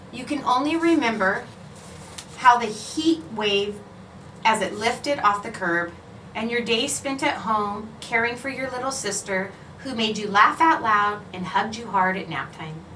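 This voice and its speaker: A woman reading a children's picture book aloud in a measured, expressive storytelling way, with clear phrasing. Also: fairly high pitch. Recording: roomy, echoing, distant mic, faint noise.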